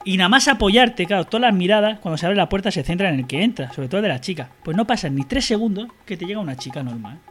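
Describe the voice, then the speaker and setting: A man's voice: sits high, animated, fast talker, few pauses, fairly bright tone, faint music behind. A man, a brash co-host who swears constantly and explains things in a lecturing tone. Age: 30s. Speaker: podcast co-host. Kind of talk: crude comic chat.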